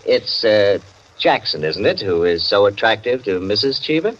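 An actor playing a droll, urbane gentleman in an old-time radio drama, bantering in an arch, mock-pompous way. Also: lowish voice, big pitch swings, normal speed. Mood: arch, amused. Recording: dull old radio, faint noise.

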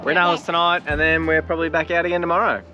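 An Australian man talks his way through a travel vlog in an easygoing, practical ramble. His voice sits a bit high for a man. He is recorded outdoors, with clear music playing underneath. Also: flat delivery, rapid-fire. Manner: laid-back, rambling, practical. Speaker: travel vlogger. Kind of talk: casual vlog narration. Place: outdoors by a highway and camp.

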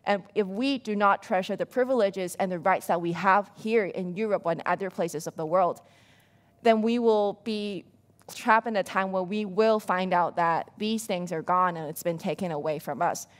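A young woman from Hong Kong, an activist on a panel, giving a prepared, earnest and measured speech from a dark stage. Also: mid-range voice. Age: young adult. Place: dark stage, seated at a small table.

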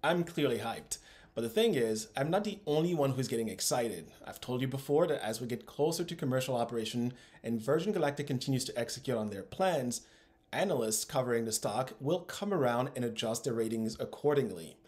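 A man in his thirties speaks to camera as the host of an investing channel. His pitch sits in a middle range, and his scripted delivery is upbeat, with emphatic phrasing. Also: lively intonation, a little fast, a little mellow. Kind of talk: scripted video commentary to camera. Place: small room at home, indoors.

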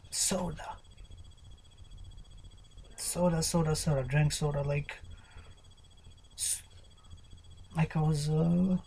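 A man's voice: on the high side, vocal fry, mellow, slightly rough, poor audio. A man in his thirties doing an unscripted fitness vlog in a small bedroom, repeating slogans in a preachy way and drifting into whispers, recorded on a webcam. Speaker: fitness vlogger. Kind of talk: rambling unscripted workout talk. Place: small cluttered bedroom with tapestries. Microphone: webcam at desk distance.

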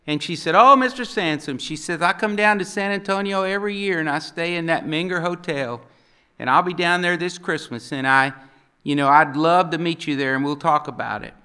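A man's voice: a little high, fairly quick, bright, forceful tone, clear, resonant tone. An older man on a conference panel, telling folksy anecdotes and acting out the people he quotes, at a table mic in a hotel conference room. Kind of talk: storytelling on a panel.

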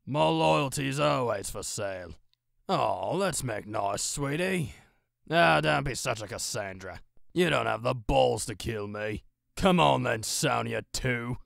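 A man's voice: mid-range voice, animated, slow, creaky, fairly bright tone, dry recording, studio quality, exaggerated voice. A man voicing a crude, sneering criminal mercenary from a video game, mocking and taunting. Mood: mocking threat. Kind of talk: fan impression of video game villain.

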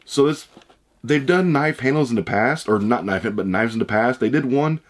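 A man in his thirties with a hoarse voice he says he is losing, chatting casually as he unboxes items at a desk indoors. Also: medium pitch, animated, normal speed, a little hiss or hum. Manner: chatty, rambling, upbeat. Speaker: unboxing channel host. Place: room with shelves of shoe boxes, indoors.

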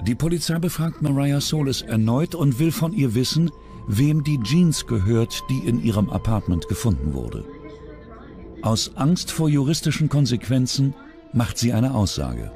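A man reads true-crime documentary narration with a warm, mellow tone. His pitch rises and falls in lively arcs, and quiet music plays beneath him. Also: moderate pace. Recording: soft music underneath.

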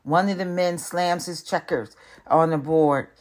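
Older lady teacher reading a kids book out loud, deep for a woman, really slow, bit of music. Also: fairly even intonation. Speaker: retired teacher reading aloud. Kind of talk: picture book read-aloud narration.

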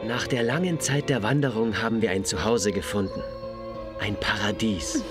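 A man's voice: lowish voice, normal speed, close to an everyday voice. An actor playing a solemn male alien villain in an audio drama, with a flowery way of speaking. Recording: music under the voice.

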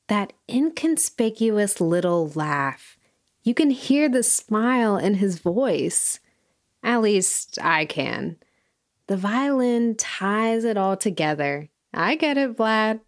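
An actress playing an earnest music documentarian, narrating in a deliberate, poetic, dramatic manner. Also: female voice, medium pitch, very animated. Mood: earnest, reverent.

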